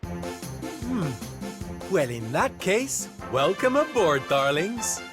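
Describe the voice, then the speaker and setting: A man's voice: on the high side, huge pitch swings, very slow, music in the background, exaggerated voice. An actor playing a flamboyant, kindly male circus entertainer in a video game, camp, dramatic and affectionate. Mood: measured, indulgent. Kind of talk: theatrical video game acting.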